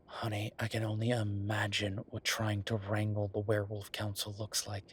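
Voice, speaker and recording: A man playing an easygoing, affectionate werewolf bureaucrat in an intimate boyfriend roleplay audio, talking casually and haltingly with a husky voice. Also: fairly low pitch, monotone. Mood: weary, reassuring.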